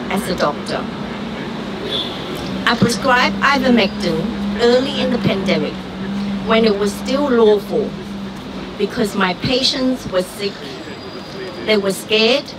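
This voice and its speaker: A woman from Vietnam, giving an earnest, deliberate prepared speech at an outdoor rally. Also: on the high side. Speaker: rally speaker, doctor. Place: outdoor street gathering. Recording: far-off street recording with traffic noise.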